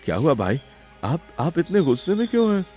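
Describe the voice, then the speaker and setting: A man voicing a distressed, pampered young brother in an animated moral story, plaintive and timid. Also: fairly high pitch, a little fast, breathy edge, faint music behind. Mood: anxious.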